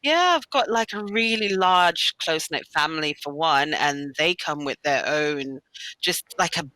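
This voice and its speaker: A woman's voice: deep voice, expressive, a little slow, pushed and bright, dry recording, poor audio. A woman whose first language is Caribbean Creole English, speaking candidly as a student on a panel. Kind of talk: conversational panel talk.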